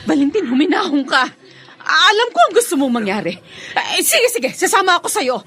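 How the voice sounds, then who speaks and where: A woman's voice: very high voice, big pitch swings, a little fast, fairly bright tone, full character voice. An actress with a Filipino accent playing a distraught, urgently pleading woman in a radio drama. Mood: alarmed, pleading. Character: distraught, pleading woman facing an armed man.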